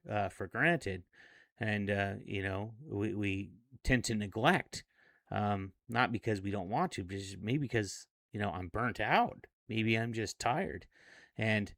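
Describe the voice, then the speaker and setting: Low-voiced man in his forties, dry sound. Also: a bit bright, no echo. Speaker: self-improvement vlogger. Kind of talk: casual personal vlog monologue.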